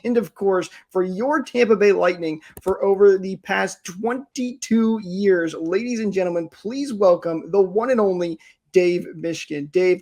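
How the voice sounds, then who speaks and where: A man's voice: sits high, darkish tone. A man hosting a podcast, introducing and questioning a guest in an upbeat, presenter-like but casual way. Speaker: podcast host, interviewer.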